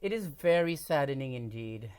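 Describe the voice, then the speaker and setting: A man's voice: mid-range voice, lively intonation, normal speed, darkish tone, clear, resonant tone. Co-host: man, mid-range voice, earnest scripted read. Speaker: podcast co-host. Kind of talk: scripted show introduction.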